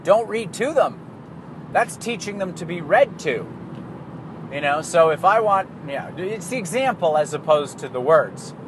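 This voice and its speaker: A man's voice: a little high, lively intonation, measured pace. A Canadian man talking in a casual, rambling monologue, swearing freely and laughing at himself. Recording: street and car noise behind.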